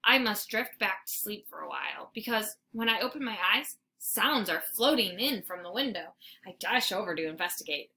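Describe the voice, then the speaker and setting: A woman reading a children's story aloud like a teacher, in an expressive, theatrical narration. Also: mid-range voice, normal speed, gravelly. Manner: animated, theatrical.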